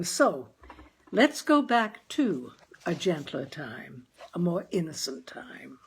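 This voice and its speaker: Expressive woman storyteller with a husky voice. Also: on the low side. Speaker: reader and host of a story series. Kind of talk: storytelling narration of a short story.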